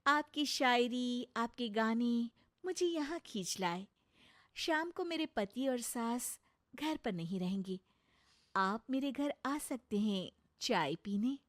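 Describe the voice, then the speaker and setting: A woman's voice: a little high, expressive, slow. A woman voicing a clever young daughter-in-law in a cartoon moral story, assertive, righteous and slyly pointed.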